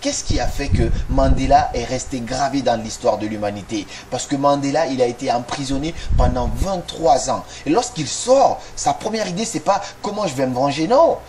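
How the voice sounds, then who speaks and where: A man giving a motivational talk straight to the listener, speaking directly and firing off rhetorical questions. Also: mid-range voice. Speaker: motivational video host. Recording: noisy recording with clicks.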